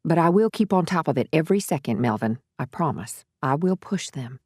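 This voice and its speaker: An earnest female defense lawyer with a low voice. She is measured and soothing, reassuring as she goes, in dramatic audiobook dialogue recorded dry. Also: expressive, darkish tone, dry recording.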